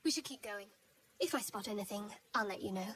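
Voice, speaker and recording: An actress playing a secretive young adventurer in a video game, with a dry, measured, cagey manner. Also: female voice, medium pitch, lively intonation, a bit bright, far from the mic, slight background noise, close to an everyday voice. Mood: wary, intrigued. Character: guarded, wry, secretive young woman adventurer. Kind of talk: video game character acting.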